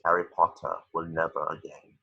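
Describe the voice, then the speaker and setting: A man playing a cold, menacing, formal wizard headmaster in a screenplay table read, with raspy, deliberate speech. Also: low-pitched, level tone, sharp tone, dull audio, full character voice.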